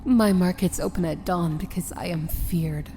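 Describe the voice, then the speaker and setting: A woman playing a proud, dangerous evil princess in an ASMR fantasy romance roleplay, speaking softly and formally with a breathy, measured, regal delivery. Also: on the low side, a little hiss or hum, natural voice. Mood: proud, menacing calm.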